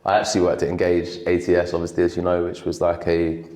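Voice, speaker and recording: A man's voice: deep voice, completely flat delivery, quick pace, darkish tone. A man being interviewed as a guest, chatting casually, swearing now and then and laughing easily. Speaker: guest, creative director. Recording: echoey room.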